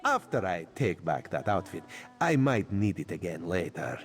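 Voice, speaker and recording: An actor playing a sly catlike spy in a video game, playfully secretive and conspiratorial, talking about himself in the third person. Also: male voice, deep voice, sing-song, moderate pace. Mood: wry, suspicious. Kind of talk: video game character acting.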